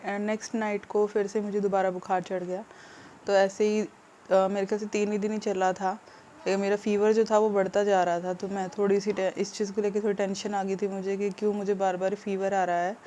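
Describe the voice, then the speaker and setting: Subdued, flat-voiced sick woman vlogger. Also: medium pitch, a little hiss or hum. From India. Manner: hesitant, subdued, with many pauses and fillers. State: ill, sore throat. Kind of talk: casual vlog update to viewers.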